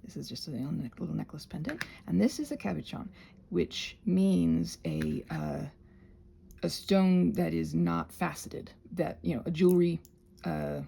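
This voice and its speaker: A woman giving a casual, rambling craft tutorial, chatty and thinking aloud as she interrupts herself with gentle reassurances. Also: fairly low pitch, vocal fry, slightly rough. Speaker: craft and ASMR channel creator, host.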